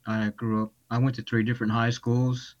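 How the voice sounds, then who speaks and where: A man from Texas telling his life story as a podcast guest over a video call, speaking haltingly with many restarts. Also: mid-range voice, flat delivery, lo-fi sound. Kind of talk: personal testimony.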